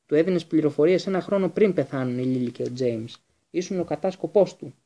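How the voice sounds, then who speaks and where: A man's voice: on the high side, warm tone, lightly acted. A man voicing a fierce, bitter adult wizard in a storybook, growling his accusations. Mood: accusing. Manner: accusing, emphatic.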